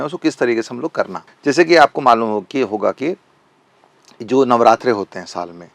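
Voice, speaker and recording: A man's voice: fairly low pitch, dry recording. A man speaking as an advocate in an interview, insistent and repeating phrases for stress. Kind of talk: impassioned opinion interview.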